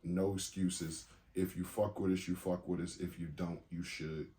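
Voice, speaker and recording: Candid, low-voiced man in his forties. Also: flat delivery, normal speed, mellow. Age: 40s. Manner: candid, profane, laughs easily. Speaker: podcast co-host. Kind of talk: casual chat.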